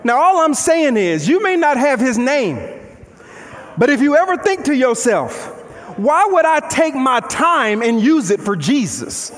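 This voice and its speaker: A young man preaching from a large church stage, with a strikingly high voice and reverb that hangs in the big hall. His delivery is animated and conversational, full of rhetorical questions, and he moves around as he talks. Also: unhurried. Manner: paces the stage and gestures while preaching. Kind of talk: sermon.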